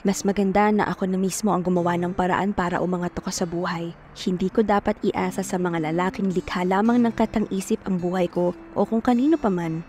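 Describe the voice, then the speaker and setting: A woman's voice: lowish voice, steady tone, fairly quick, a little mellow, slight background noise, lightly acted. An actress playing a poor, determined, lovestruck young provincial woman who narrates her own story earnestly and introspectively. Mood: resolute. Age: young adult. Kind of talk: scripted first-person dramatic narration. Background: music.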